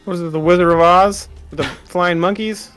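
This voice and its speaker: A man's voice: high-pitched, fairly even intonation, brisk, fairly bright tone, faint music behind. A man in his thirties from California, chatting casually about a game in an easygoing way, full of fillers. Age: 30s. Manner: easygoing, filler-heavy, chuckles often. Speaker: co-host watching a game. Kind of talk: casual chat while gaming.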